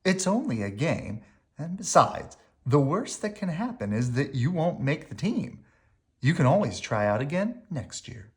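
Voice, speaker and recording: A storybook reader voicing a sensible, reassuring mother bear who cautions gently. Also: medium pitch, big pitch swings, a little slow, pitched above the performer's own voice.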